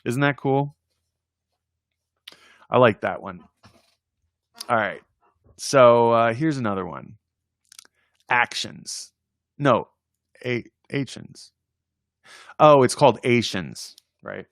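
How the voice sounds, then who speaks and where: A man hosting a casual read-aloud of children's poems, playful and expressive in verse, with informal chatty asides. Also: medium pitch, takes it slowly, gravelly, pauses often, no echo, studio quality. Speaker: host reading poems aloud on a livestream.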